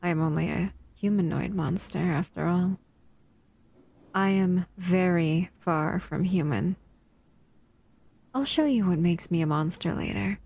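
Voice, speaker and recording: Female humanoid monster, played by a woman for audio roleplay: bored, teasing predator, unhurried delivery, somewhat low pitch. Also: vocal fry, darkish tone. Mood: playful menace. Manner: languid, teasing, menacing. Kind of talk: slow audio roleplay to the listener.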